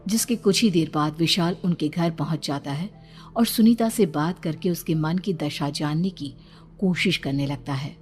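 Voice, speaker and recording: A woman's voice: low-pitched, normal speed, darkish tone. A woman narrator reading a scripted horror story dramatically, with background music under her voice.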